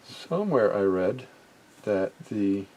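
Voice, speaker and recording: A man's voice: fairly low pitch, sing-song, slow pace, darkish tone, poor audio. A middle-aged or older man giving an unscripted hands-on demonstration, musing hesitantly and often trailing off mid-thought. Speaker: vintage electronics hobbyist demonstrating equipment. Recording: home recording with background noise.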